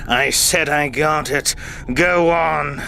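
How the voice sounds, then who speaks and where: A man's voice: a little high, slow pace, creaky, background noise. A man voicing a bullying insectoid alien. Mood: gloating. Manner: sneering, bossy. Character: bullying insectoid alien male, lazy and cruel. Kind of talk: audio drama acting.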